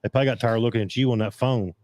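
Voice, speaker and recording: A man's voice: mid-range voice, steady tone, racing, a little mellow, dry recording. A man hosting a casual racing talk show, his voice gone a bit scratchy, rambling and restarting often as he reads out comments. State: voice getting scratchy. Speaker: podcast host. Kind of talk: casual chat about dirt track racing.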